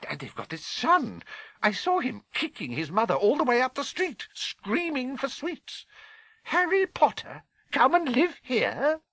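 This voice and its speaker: Prim, high-pitched older witch acted by a man. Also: huge pitch swings, measured pace, fairly bright tone, slightly rough, no room sound, full character voice. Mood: shocked, indignant. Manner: faltering, exasperated, emphatic.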